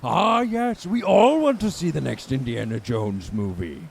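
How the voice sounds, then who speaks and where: A pompous, dotty admiral in a comic audio drama. The voice is heavily gravelly and swoops around slyly, over faint background noise. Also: male voice, on the high side, expressive, measured pace. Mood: sarcastic. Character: pompous, dotty older male admiral captive. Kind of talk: comedic audio drama acting.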